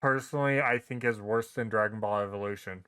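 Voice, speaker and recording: A man's voice: on the low side, brisk, a bit bright, smooth, no room sound. Man in casual podcast banter, dry close sound. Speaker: podcast host. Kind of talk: casual comedic podcast chat.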